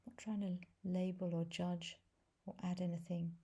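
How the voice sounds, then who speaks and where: A woman leading a guided meditation as a teacher, speaking softly and gently in a breathy voice with long pauses between phrases. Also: on the low side, little pitch movement. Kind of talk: guided body scan meditation.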